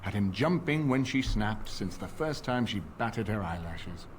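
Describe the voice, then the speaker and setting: A man playing a shrewd older nobleman in a fantasy video game, courtly and deliberate, with a wry, cautious manner. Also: fairly low pitch, expressive. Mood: wry, wary. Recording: game audio with clear background noise.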